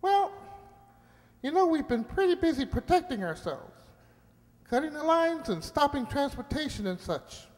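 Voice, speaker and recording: A woman's voice: high voice, sing-song, normal speed, darkish tone, soft background music. A woman playing a small, weary, plain-spoken male talking mouse in an amateur radio play, with a folksy, hesitant manner. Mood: weary, matter-of-fact. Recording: reverberant hall.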